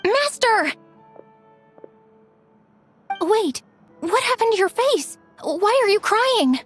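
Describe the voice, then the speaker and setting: A woman's voice: very high-pitched, expressive, takes it slowly, full character voice. A woman voicing a playful, enthusiastic young female tabletop-game fan in an anime video game. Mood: concerned. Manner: giggly, enthusiastic. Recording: music playing behind the voice.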